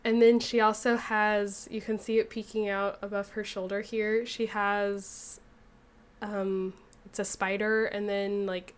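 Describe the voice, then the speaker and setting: A woman's voice: mid-range voice, level tone, a little slow. A young woman giving rambling, casual gameplay commentary, a bit nasal and still getting over a cold. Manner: rambling, chatty, lots of fillers. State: recovering from a cold, slightly nasal. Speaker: gaming YouTuber.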